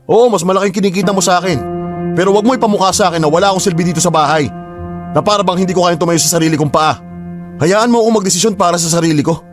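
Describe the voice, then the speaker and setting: A man playing a young teacher and proud older brother who turns defensive and quickly flares into shouting, in a radio drama. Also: high-pitched, natural voice. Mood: angry.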